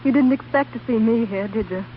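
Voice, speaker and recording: A woman's voice: a little high, a little fast, close to an everyday voice. An actress playing a tender, earnest woman in a 1930s radio drama. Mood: tender, pleading. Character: tender, earnest woman offering help to an old flame. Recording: dull, noisy old radio recording.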